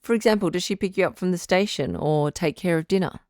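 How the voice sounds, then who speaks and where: A woman playing a calm, firm relationship advice doctor hosting a radio call-in drama, measured and probing. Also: on the low side, no echo, clean studio sound, natural voice.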